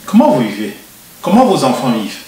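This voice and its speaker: A man hosting a TV interview show, speaking in a measured way with frequent hesitations. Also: mid-range voice, huge pitch swings, dark tone. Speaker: TV show host. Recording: roomy echo, distant mic.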